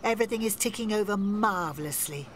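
An actress playing a spirited older woman in a radio soap. She is jaunty and well-spoken at a medium pitch, with faint outdoor ambience behind her. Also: a little fast, slight background noise, lightly acted. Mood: brisk.

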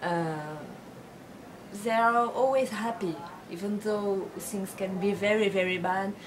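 A woman's voice: medium pitch, slow. A woman in her late twenties, born in France with Brazilian and Belgian parents, answering interview questions thoughtfully with many fillers. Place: bedroom with bookshelves, indoors. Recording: clear background noise.

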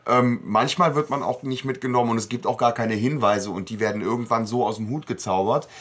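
A man's voice: medium pitch, fairly quick, a bit bright, slightly rough. A man giving casual commentary as a podcast host in loose conversation.

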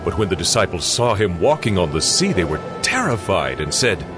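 A man's voice: low-pitched, very animated, brisk. A man giving a dramatic scripted scripture narration. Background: music.

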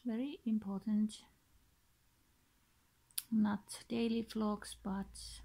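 A woman in her thirties with a Finnish accent, talking casually and hesitantly to the camera in short, halting, breathy phrases. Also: medium pitch, a little mellow, poor audio. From Finland. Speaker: vlogger introducing her channel. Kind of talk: casual unscripted talk to camera. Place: small bedroom, indoors. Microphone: built-in device mic, close to face.

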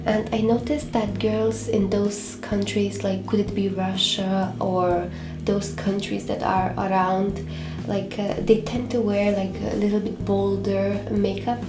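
A woman's voice: medium pitch, flat delivery, a little slow. Hesitant young woman vlogging, roomy sound. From Lithuania. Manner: hesitant, many fillers and restarts. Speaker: beauty vlogger. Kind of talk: casual chat to camera. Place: small home room, indoors. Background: soft background music.

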